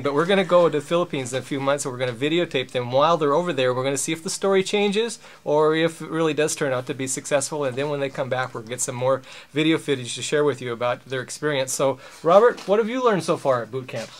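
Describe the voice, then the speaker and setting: A man's voice: on the high side, fast, few pauses. A man, interviewing people casually on camera in an informal, prodding, teasing way.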